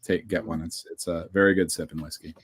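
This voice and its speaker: A male guest, a game designer, talking in a relaxed, unhurried way. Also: low-pitched, breathy edge. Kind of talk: casual chat.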